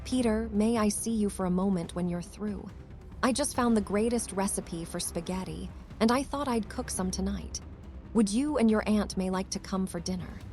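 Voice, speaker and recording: A woman voicing a caring, gently coaxing young office worker in a comic dub. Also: medium pitch, moderate pace, soft background music, close to an everyday voice. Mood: friendly.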